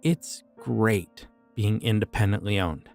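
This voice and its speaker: A man voicing a friendly but creepy young occult shopkeeper in an audio roleplay, talking in a casual, easygoing way about dark rituals. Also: fairly low pitch, slow pace, gravelly, soft background music. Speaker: voice actor.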